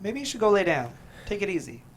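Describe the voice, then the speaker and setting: A man's voice: sits high, big pitch swings, fast talker, slight background noise. A man acting in a table read as an overly cheerful small-town buddy who is hiding something, eager, stammering and evasive. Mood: concerned.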